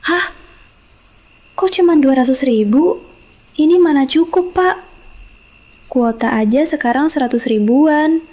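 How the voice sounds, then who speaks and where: A woman's voice: high voice, measured pace, long pauses, darkish tone. A woman voicing a greedy, nagging middle-aged village wife who talks in a whiny, scornful way.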